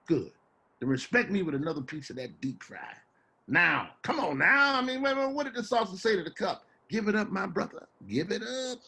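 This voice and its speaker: An actor playing a rambling, wheedling homeless man with American street slang and a husky, stammering delivery, in a stage play reading. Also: sits high, big pitch swings, moderate pace, sharp tone, heavily acted. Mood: coaxing. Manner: stammering, repeats words, folksy street slang.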